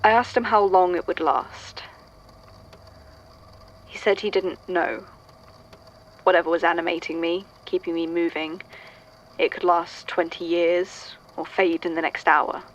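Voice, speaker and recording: A woman's voice: lowish voice, fairly even intonation, unhurried, pauses often, dull audio, lightly acted. A woman playing an archivist in a fan audio drama, reading old supernatural statements and thinking aloud. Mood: weary, matter-of-fact.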